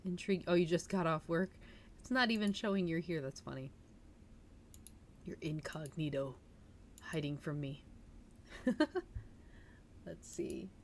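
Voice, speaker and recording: A woman with a slightly low voice hosts a cozy story stream, moving between casual chat and reading aloud. Her manner is informal, chatty and giggly. Some noise sits behind her voice on the home streaming mic. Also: fairly even intonation, measured pace, pauses often. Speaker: livestream host reading a novel aloud. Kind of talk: casual livestream chat and audiobook-style reading.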